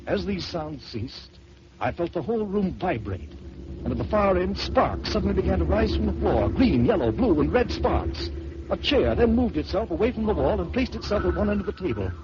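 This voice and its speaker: A man narrating a first-person horror story in dramatic old-time radio style, swelling and dropping to hushed tones. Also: high-pitched, big pitch swings, moderate pace. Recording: dull lo-fi old radio recording with hiss.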